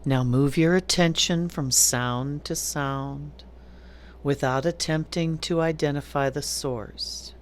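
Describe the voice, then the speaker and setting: A woman teaching yoga nidra, giving calm, deliberate guided meditation instructions with long pauses between phrases. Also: sits low, slight background noise.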